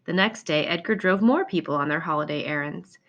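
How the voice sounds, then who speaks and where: A woman reading a children's picture book aloud in an expressive storytelling manner. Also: low voice, brisk, highs cut off. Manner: expressive, acts out exclamations and dialogue. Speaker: storybook reader.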